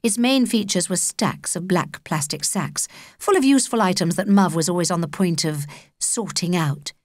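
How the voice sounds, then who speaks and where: A woman with a British accent narrating a children's audiobook in an expressive, measured storytelling manner. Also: fairly low pitch, no echo, clean studio sound. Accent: British English.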